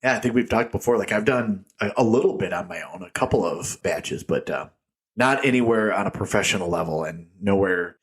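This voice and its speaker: Husky-voiced man, chatty beer podcast co-host. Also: lowish voice, brisk. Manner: rambling, laughs often, jokey tangents. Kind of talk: casual chat. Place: small echoey home studio room. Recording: echoey, tinny room sound.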